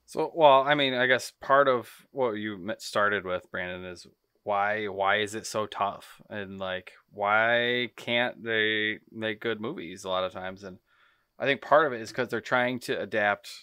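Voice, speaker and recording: Guy podcast co-host, bright crisp voice on the low side, chatty explainer, dry sound. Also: a little slow, no room sound. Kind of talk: casual chat, explanatory discussion.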